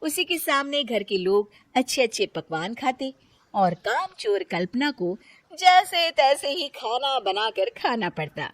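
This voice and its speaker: A woman narrating a scripted cartoon moral story in an expressive storytelling style. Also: a little high, a little slow, no echo. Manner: animated, expressive.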